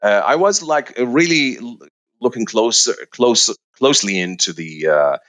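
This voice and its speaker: A man's voice: mid-range voice, animated, unhurried, fairly bright tone. A middle-aged man from Iraq, a researcher answering interview questions with many fillers and restarts. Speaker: researcher guest.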